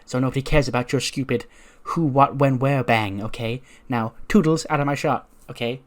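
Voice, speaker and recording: A man's voice: mid-range voice, fairly even intonation, normal speed, natural voice. Haughty wrestling diva played by a man, dismissive and condescending, storytelling delivery. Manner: snooty, condescending.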